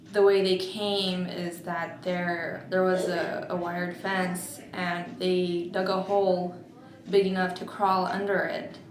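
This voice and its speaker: A woman in her twenties, born in Mexico and raised in Oregon, telling her family's story in an interview, slow and thoughtful with many pauses. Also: fairly low pitch, reverberant. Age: 20s. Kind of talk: oral history interview.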